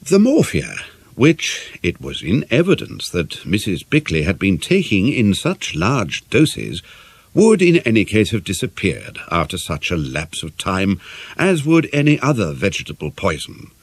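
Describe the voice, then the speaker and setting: Male audiobook narrator for mystery fiction: lowish pitch, animated range, measured formal delivery, dry close sound. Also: husky, no room sound. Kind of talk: scripted narration of a murder mystery novel.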